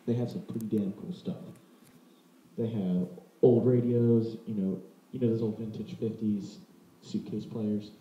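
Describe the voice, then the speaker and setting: A man's voice: on the low side, steady tone, a little slow. A man giving a casual, unscripted product demonstration as a vlogger, rambling informally and correcting himself as he goes. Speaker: vlogger presenting vintage audio gear. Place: indoor room. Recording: roomy, muffled home-video sound.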